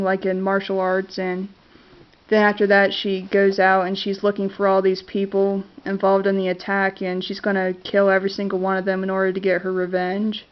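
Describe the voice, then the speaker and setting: A congested woman with a cold talks casually to her camera about movies she loves, hesitant and full of fillers. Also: medium pitch. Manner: hesitant, many fillers and restarts. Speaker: vlogger reviewing favorite movies. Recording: dull lo-fi camera audio.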